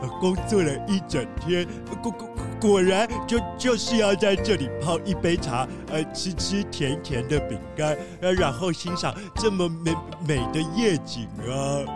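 A man's voice: sits high, moderate pace, few pauses, lightly acted. A man voicing a nervous male pangolin factory worker who stutters constantly, in a children's radio drama. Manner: stutters constantly, many fillers. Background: background music.

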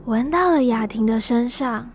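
A teenage young woman narrates a scripted radio story. Her voice is fairly high, with faint music underneath. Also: moderate pace. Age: high school student.

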